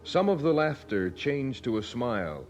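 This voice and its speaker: A man's voice: mid-range voice, big pitch swings, unhurried, a little mellow, slight background noise. A man announcing and narrating a radio drama in a solemn, scripted style. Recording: dull old radio recording. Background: music.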